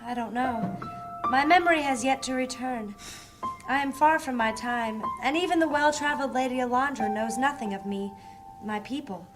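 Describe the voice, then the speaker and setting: A woman's voice: high-pitched, moderate pace, over music, natural voice. A woman playing an earnest, plaintive young wanderer in a fantasy audio drama. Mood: wistful, searching.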